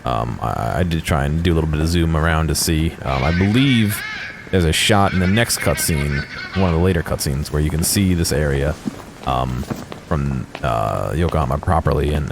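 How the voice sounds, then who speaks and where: A man's voice: extremely low pitch, lively intonation, gravelly, background noise. A man giving unscripted, hesitant commentary on game footage. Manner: hesitant, halting. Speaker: video creator.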